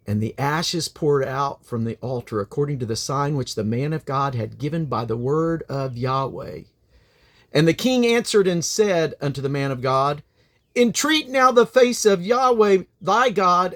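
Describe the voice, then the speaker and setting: A man's voice: a little high, expressive, a little slow, fairly bright tone. A man reading the Bible aloud as a dramatic narrator, declaiming quoted speech with emphasis. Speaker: Bible reader.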